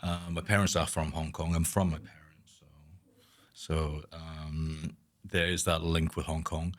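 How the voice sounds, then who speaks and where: A man from Scotland with a very low, deep voice, speaking as an interview guest. He talks hesitantly, repeating words and trailing off to a near murmur at the ends of his thoughts. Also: level tone, measured pace. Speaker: guest architect. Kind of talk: conversational interview.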